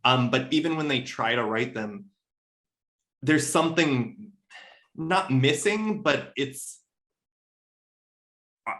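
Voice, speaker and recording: Thoughtful man with a mid-pitched voice. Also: fairly bright tone. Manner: thoughtful, hedging, self-correcting, tags points with "right?". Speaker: podcast guest, literary editor. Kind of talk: casual literary discussion.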